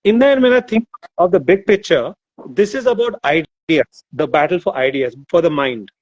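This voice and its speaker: A man from Nigeria shares his view as an author guest. His voice sits a little high and reaches the listener as muffled, dry remote audio. He pauses often with fillers while he shapes his ideas. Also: lively intonation, no echo. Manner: thoughtful, with many fillers and restarts. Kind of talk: casual discussion.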